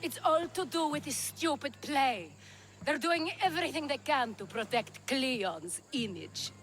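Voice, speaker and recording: Slow-talking, worldly courtesan. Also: female voice, high-pitched, expressive, a bit bright, a little hiss or hum. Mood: confiding. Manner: languid, teasing, wry. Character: sultry, worldly, flirtatious courtesan. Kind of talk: video game cutscene acting.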